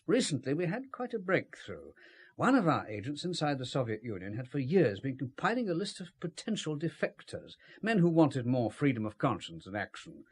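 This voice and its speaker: Suave, husky British spymaster, lively intonation. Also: male voice, mid-range voice, normal speed. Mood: composed, explanatory. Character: suave, calculating male British spymaster, evasive and unruffled. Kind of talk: radio drama acting.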